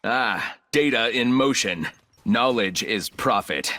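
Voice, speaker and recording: An actor playing an arrogant, ruthless, calculating corporate villain in a video game, speaking in clipped, condescending lines. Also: male voice, mid-range voice, lively intonation, slow pace, sharp tone. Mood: dry, disdainful.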